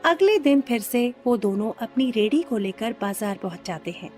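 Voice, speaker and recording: A woman's voice: a little high, lively intonation, moderate pace, a little mellow, faint music behind. A woman giving scripted narration for a children's cartoon story.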